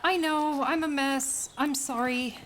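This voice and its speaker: A woman's voice: sits high, fairly even intonation, slow pace, fairly bright tone, a little hiss or hum. An actress playing a frightened, haunted young woman in a radio play, with intense, dramatic delivery. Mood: anxious, apologetic. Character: haunted, frightened young Sicilian woman seeing bloody visions. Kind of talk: cinematic radio play, first-person narration and dialogue. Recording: music under the voice. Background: music soundtrack.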